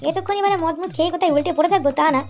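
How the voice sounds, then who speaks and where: Squeaky, fast-talking rowdy cartoon youth. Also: male voice, a little mellow, soft background music. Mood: panicky, irritated. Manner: slangy, crude, bantering. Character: rowdy foul-mouthed village youths, cocky and mischievous. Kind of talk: comic cartoon dialogue.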